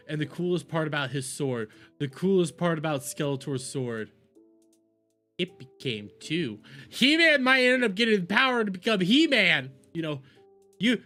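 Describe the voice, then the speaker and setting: A man hosts a livestream with opinionated reaction commentary. His delivery is emphatic and animated, with pitch that moves around a lot, and he often repeats phrases to drive a point home. Faint music plays behind his voice.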